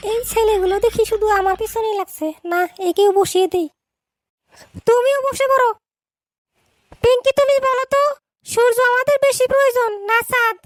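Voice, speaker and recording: Cartoon teacher, bossy and scolding, very high and dry, voiced by a woman. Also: steady tone, moderate pace, pauses often, no room sound. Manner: scolding, lecturing. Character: strict scolding female schoolteacher. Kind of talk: cartoon dub acting.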